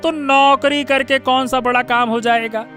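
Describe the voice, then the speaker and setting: A man plays a harsh village father in a cartoon. His voice is very high-pitched and sharp, blunt and scolding, over faint music. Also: fairly even intonation, measured pace, heavily acted. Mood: dismissive, irritated. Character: harsh, dismissive, hard-drinking village father. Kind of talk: Hindi cartoon story voice acting.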